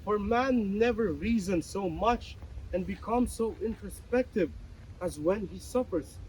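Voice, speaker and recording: A man playing an anguished, philosophizing character in a dramatic play reading, declaiming deliberately with dramatic pauses. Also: high voice, mellow, slight background noise, low-quality recording. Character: anguished, philosophizing male character pleading with a gentleman.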